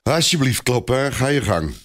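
A man's voice: medium pitch, sing-song, moderate pace, pitched above the performer's own voice, lightly acted. A man voicing a young, good-humoured, admiring squire in a storybook tale. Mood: relieved.

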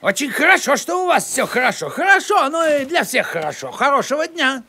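An actor voicing a nosy, defensive village postman in an animated cartoon, blustering, evasive and repeating himself. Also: sits high, a little fast, music in the background. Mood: awkward.

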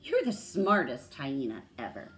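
A woman voicing an excitable, gushing mother hyena in a children's story. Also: rumbling low, measured pace, exaggerated voice. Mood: proud. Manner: exclamatory, gushing.

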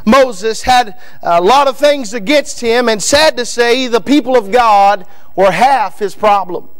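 High-voiced shouting Kentucky preacher man. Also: unhurried. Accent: Northern Kentucky. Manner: builds to shouting, repeats lines, addresses men in the pews by name. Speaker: guest preacher. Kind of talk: fiery Baptist sermon. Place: church sanctuary. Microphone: pulpit microphone.

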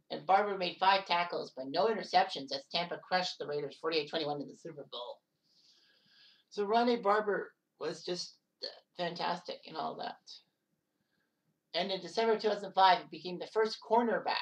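A woman in her thirties narrating sports history casually, rambling with offhand asides and quick self-corrections. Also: on the low side, distant mic, low-quality recording. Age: 30s.

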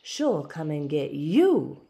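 A woman's voice: deep voice, sing-song, takes it slowly, vocal fry, mellow, a little hiss or hum, exaggerated voice. A woman voicing a gossiping, superstitious old village grandmother with a dramatic storytelling manner, in a storybook reading. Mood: ominous warning. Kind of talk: storybook read-aloud.

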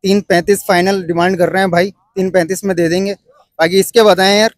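A man in his thirties from Pakistan with a Karachi Urdu accent, filming a casual walkthrough of an outdoor cattle market on his phone and pressing sellers for lower prices. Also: level tone, a little fast, no room sound, studio quality. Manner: chatty, pushes for a lower price. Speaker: vlogger.

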